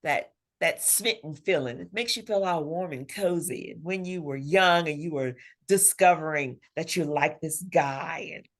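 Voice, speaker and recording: Female author as interview guest, slightly low-pitched, conversational, animated storyteller who voices imagined dialogue. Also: measured pace, few pauses. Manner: expressive, acts out imagined dialogue.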